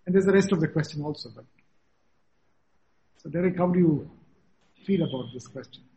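A man moderating an online panel, reading out questions haltingly with many fillers. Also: a little high, mellow. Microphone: video call. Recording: dull video call audio with faint noise.

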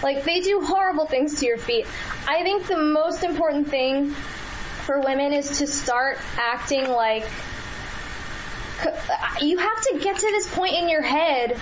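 A woman with a high-pitched voice, a radio interview guest who answers earnestly, with many fillers and restarts. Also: lively intonation, a little slow, background noise.

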